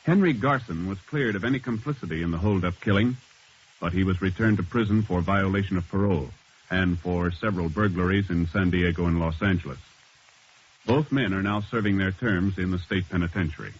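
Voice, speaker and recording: A man with an American accent doing formal, scripted 1950s radio announcing in a measured, authoritative way. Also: sits low, slightly rough. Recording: dull vintage radio recording.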